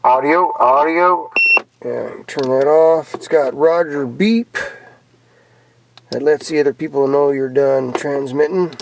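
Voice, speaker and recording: Gravelly American man rambling casually. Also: fairly high pitch. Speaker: hobbyist vlogger showing off CB radio gear. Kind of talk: casual rambling show-and-tell. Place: in or around a pickup truck. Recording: noisy handheld camera recording with clicks.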